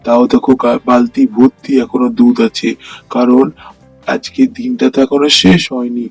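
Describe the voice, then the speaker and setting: Man playing an earnest, husky village milkman. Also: mid-range voice, level tone, normal speed, a little mellow, faint music behind. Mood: contented. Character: honest, hardworking village milkman, principled and contented husband. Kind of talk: Bengali fairy-tale cartoon voice acting.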